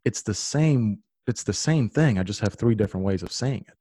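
A man's voice: lowish voice, expressive, fairly quick, warm tone, husky, no room sound, studio quality. A man whose first language is Serbian, hosting a casual conversation and explaining things emphatically.

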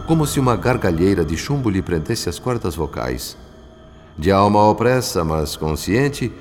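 Compassionate spirit mentor, deep voice, solemn formal narration, radio drama, reverberant, music underneath. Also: male voice. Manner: solemn, measured, formal diction.

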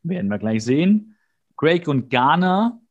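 A man's voice: on the high side, very animated, measured pace, lo-fi sound. A male podcast co-host casually recapping and bantering, with a sarcastic, mock-exasperated way of talking.

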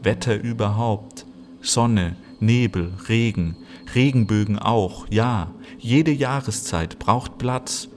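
A man reading a scripted literary text as narrator, measured and deliberate, in short phrases. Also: on the low side.

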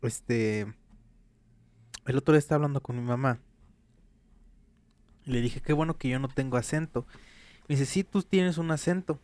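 A man's voice: medium pitch, normal speed, long pauses, a little mellow, no echo. Chatty Mexican man, joking. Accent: Mexican Spanish. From Querétaro, Mexico. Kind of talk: casual chat.

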